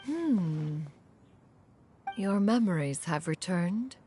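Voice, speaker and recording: A woman voicing a dignified, wise immortal, formal and measured, in a dramatic game. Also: on the low side, animated, warm tone, faint music behind. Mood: composed concern.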